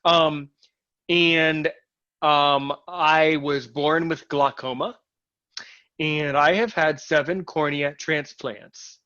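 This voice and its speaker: Animated American man on a muffled call. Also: a little high, slow. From United States. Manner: animated, repeats words and restarts when excited. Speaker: interviewee and show host. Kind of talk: casual conversational interview. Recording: lo-fi online call audio.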